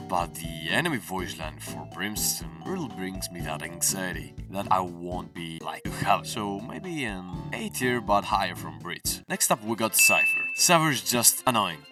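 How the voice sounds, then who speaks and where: A man's voice: low voice, distant mic. A man giving casual, opinionated gaming tier-list commentary in a conversational way. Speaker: video creator. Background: video game music.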